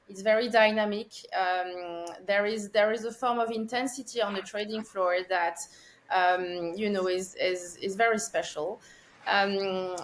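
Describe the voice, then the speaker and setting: French woman in her forties, podcast guest, measured and reflective, mid-pitched voice. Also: rough recording. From France. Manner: thoughtful, measured, with frequent fillers. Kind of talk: podcast interview.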